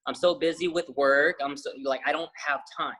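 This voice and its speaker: Husky-voiced young man, loose and rambling. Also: a little high, steady tone, moderate pace. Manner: loose, rambling, many fillers and restarts; says he has a loud voice. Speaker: fitness trainer, guest. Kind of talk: casual interview chat.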